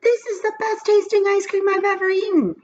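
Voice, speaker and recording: A woman voicing an excitable young schoolboy who exclaims emphatically in a children's storybook. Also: very high voice, a little fast, muffled recording, voice pushed up. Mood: excited. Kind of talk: children's storybook read-aloud character.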